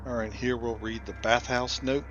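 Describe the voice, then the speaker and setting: A man's voice: medium pitch, steady tone, moderate pace, highs cut off, a little hiss or hum. A man giving casual, understated commentary as he hosts a game playthrough. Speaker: gaming video host. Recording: loud music behind the voice. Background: loud game music.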